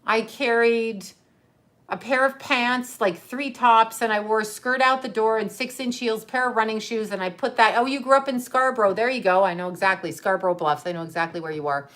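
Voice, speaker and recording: A woman's voice: fairly high pitch, brisk, fairly bright tone. Middle-aged woman, live-stream host, casual rambling storyteller who chats with viewers. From Canada. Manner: rambling, chatty, answers viewer comments mid-story. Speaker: livestream host.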